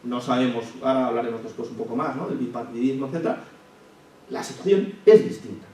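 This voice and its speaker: A man giving a public lecture, measured and thinking aloud with frequent restarts and hesitations. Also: medium pitch, warm tone. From Spain. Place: lecture hall. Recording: echoey hall, from far off, faint hiss.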